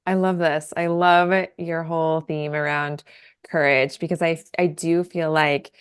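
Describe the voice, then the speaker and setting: A woman podcast host with an unusually low, smooth and resonant voice. She comes across as upbeat and enthusiastic as she leads a relaxed conversation with her guest. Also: a little slow. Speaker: podcast host, Pilates instructor. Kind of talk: casual podcast interview.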